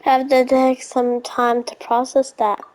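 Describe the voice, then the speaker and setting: Slow-spoken, thoughtful young traveler. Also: female voice, sits high, completely flat delivery, a little mellow. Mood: pensive. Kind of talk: video game voice acting.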